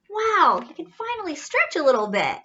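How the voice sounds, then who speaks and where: Woman, children's storybook reader, high-pitched, swooping expressive delivery, talks directly to kids. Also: muffled recording. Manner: expressive, questioning, with gasps and drawn-out emphasis. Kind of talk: animated read-aloud with simple asides to children.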